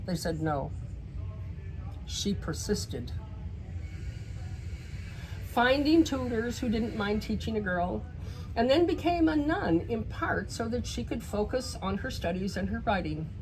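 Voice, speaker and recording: A woman reads a picture book aloud to children, pausing for emphasis and speaking directly to her young listeners. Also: on the high side, lively intonation, rough recording. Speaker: children's story reader. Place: bookstore in a shopping center.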